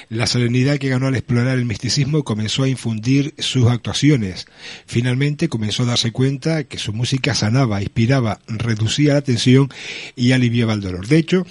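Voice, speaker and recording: A man co-presenting a radio show, reading prepared notes in a measured way. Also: mid-range voice, darkish tone, dry recording. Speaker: radio co-host.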